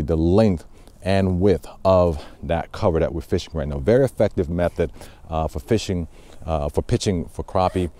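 A man hosting a fishing channel, explaining technique in a relaxed, casual way with many fillers while out on a boat on a lake. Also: low voice. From Southwest Ohio.

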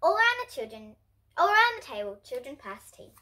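A young girl of about ten to twelve reading a children's storybook aloud in an expressive way. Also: sits high, brisk. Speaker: host reading picture books for kids. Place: indoor room in front of bookshelves.

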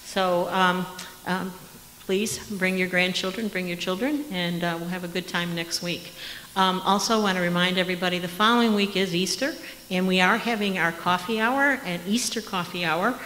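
American woman, low register, informal announcements, reverberant church space. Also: steady tone, normal speed, fairly bright tone. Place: large church sanctuary. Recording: echoey church hall, faint noise.

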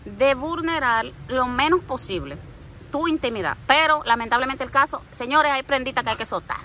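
Woman, interview host, bright tense voice, lively and teasing, faint background noise. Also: high voice, normal speed. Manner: lively, addresses viewers directly, teasing. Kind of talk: casual interview.

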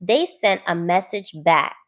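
A woman teacher reading a children's book aloud, phrasing it clearly and adding simple explanations for young listeners. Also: lowish voice, lively intonation, unhurried.

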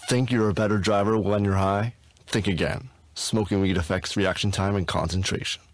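A man's voice: fairly low pitch, steady tone, normal speed, dry recording. A man narrating a public service announcement in a plain, serious manner. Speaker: voice actor.

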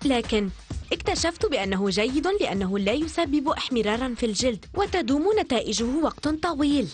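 Quick, high female dub voice for an ad, music underneath. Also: expressive. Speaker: testimonial speaker in an ad. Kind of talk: dubbed infomercial speech.

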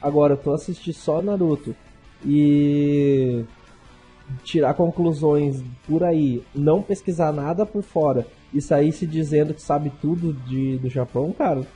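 Young man, emphatic casual podcast talk, low faint music behind. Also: a little slow, warm tone, breathy edge. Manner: emphatic, repeats himself. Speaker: podcast co-host.

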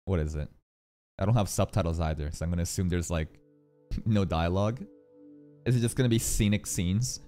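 A man's voice: low-pitched, brisk, long pauses, mellow. A young man giving casual, profane, slangy live reaction commentary as a streamer, close on a desk microphone. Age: young adult. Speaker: streamer reacting to game video. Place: small room indoors. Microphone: desk microphone on boom arm, close. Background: music from the video.

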